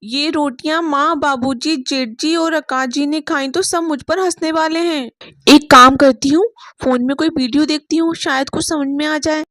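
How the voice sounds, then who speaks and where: A timid young daughter-in-law, played by a woman narrator frets and doubts herself in a storybook tale. Her voice is high and anxious, recorded very dry. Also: steady tone, normal speed, fairly bright tone, no room sound. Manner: worried, self-doubting. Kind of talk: dramatized storybook dialogue.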